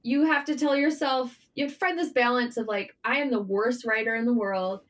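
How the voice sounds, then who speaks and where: High-voiced woman, candid and animated. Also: brisk. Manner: animated, informal, swearing, self-deprecating. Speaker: guest, writer and journalist. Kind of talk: casual, candid interview chat.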